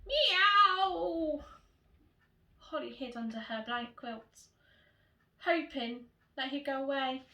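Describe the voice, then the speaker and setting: A woman teacher reading a children's storybook in an animated, expressive way, addressing young children directly. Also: high voice, a little slow. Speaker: primary school teacher reading to pupils. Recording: roomy echo, distant microphone.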